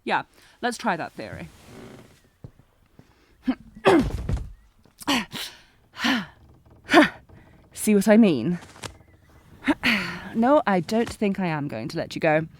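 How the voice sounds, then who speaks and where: A woman's voice: on the low side, very animated, slow, long pauses, darkish tone, a little hiss or hum. A woman playing a sardonic, protective knight bodyguard in a fantasy audio roleplay, with a taunting, commanding, intense manner. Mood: stern, menacing. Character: sardonic, protective female knight bodyguard, old academy rival. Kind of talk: scripted fantasy audio roleplay, acted one-sided dialogue.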